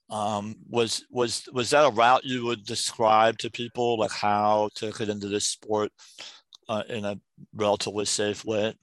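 A man's voice: on the low side, fairly bright tone, no room sound. A man hosting an interview show, asking questions in a conversational style. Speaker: podcast host.

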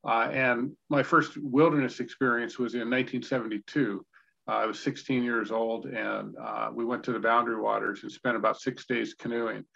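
An American man in his sixties from Indiana, telling personal stories as an interview guest in a halting, conversational way over a video call. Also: mid-range voice, level tone, gravelly, pushed and bright. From Indiana, United States. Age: 60s. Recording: dull, lo-fi video call audio.

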